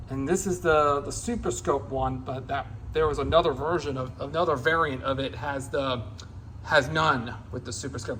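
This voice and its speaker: A man giving a casual, unscripted hands-on demonstration as a gear hobbyist, in a halting, repetitive, rambling way. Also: fairly high pitch, fairly bright tone, a little hiss or hum, low-quality recording.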